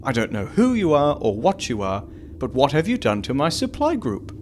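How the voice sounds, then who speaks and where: Man playing an animated, anxious base leader. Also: medium pitch, normal speed, a little hiss or hum. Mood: indignant. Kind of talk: fan audio drama acting.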